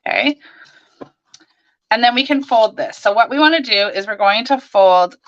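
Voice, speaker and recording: A hoarse woman hosting a live crafting stream, chatting and greeting viewers by name as she works. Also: a little high, moderate pace, lo-fi sound. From Canada.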